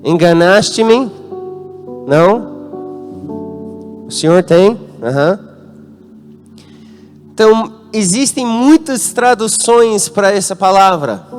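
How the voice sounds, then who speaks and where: American man preaching, light music behind. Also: high-pitched. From United States. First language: English. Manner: interacts with the congregation, asks them to call out answers. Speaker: guest pastor. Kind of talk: sermon. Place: church hall.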